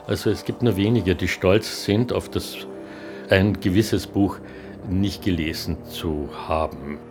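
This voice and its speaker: A man's voice: sits low, measured pace. A man explaining his own project as if speaking in an interview. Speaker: artist and interviewer who runs a library. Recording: music under the voice. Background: music.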